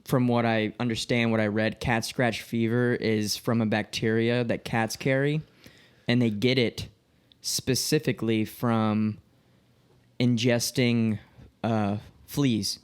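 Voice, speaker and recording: A man in his late twenties with an average-pitched voice talks loosely and informally as a podcast co-host. He tells stories in an animated way, voicing the dialogue he quotes and swearing freely. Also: slow, clear, resonant tone. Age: late 20s. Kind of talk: casual chat, telling personal anecdotes.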